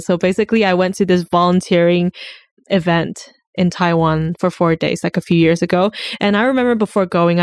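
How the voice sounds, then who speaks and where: A woman's voice: on the low side, clean tone, no echo, clean studio sound. A young woman from mainland China chatting casually, hesitant and full of fillers. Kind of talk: casual chat.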